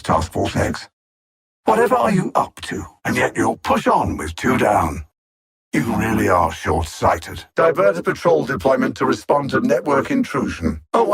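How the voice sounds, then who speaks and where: A man voicing a cold, arrogant alien machine-mind villain in a video game, speaking in precise, formal phrases with theatrical condescension and a gravelly, husky edge. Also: mid-range voice, heavily acted. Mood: calculating, superior.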